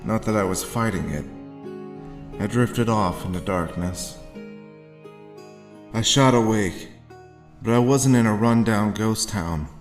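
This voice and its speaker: An actor playing a grim, haunted war veteran, telling his story deliberately in a noir audio drama. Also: lowish voice, soft background music. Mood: somber, haunted. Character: haunted hard-boiled male war veteran recounting his grim past.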